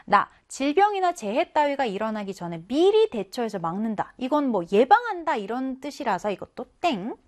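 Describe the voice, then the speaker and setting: A woman's voice: high-pitched, sing-song, moderate pace, few pauses, darkish tone. A woman teacher giving a children's reading lesson, explaining in a coaxing way and asking questions that she then answers.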